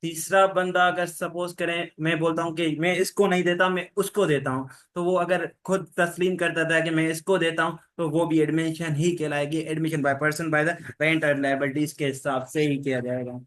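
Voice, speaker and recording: A man teaching an online law class, explaining legal rules and reading the English terms out slowly. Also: fairly high pitch, little pitch movement, a bit bright.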